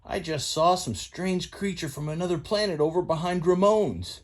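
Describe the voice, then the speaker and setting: A man's voice: high voice, moderate pace, pitched above the performer's own voice, lightly acted. A man voicing a folksy old male tow truck with a twangy country drawl.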